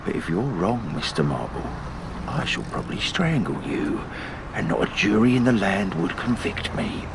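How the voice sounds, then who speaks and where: A wry British betting man in a period radio play. He is wary and teasing, with hugely varied intonation and a colloquial, sardonic turn. Background ambience is clearly audible. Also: fairly low pitch, big pitch swings, moderate pace, noisy background. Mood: wary, shrewd. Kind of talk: period audio drama acting.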